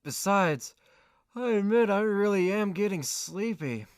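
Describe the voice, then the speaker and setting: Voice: medium pitch, animated, unhurried, clean tone, no echo, clean studio sound, lightly acted. An actor playing a frustrated, easily flustered young male student in an amateur audio drama. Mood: weary.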